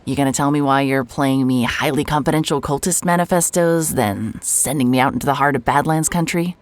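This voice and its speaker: A woman's voice: extremely low pitch, fairly quick, no echo. An actress playing a hard-boiled female private investigator who talks in a dry, sarcastic way. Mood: wry. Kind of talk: audio drama acting.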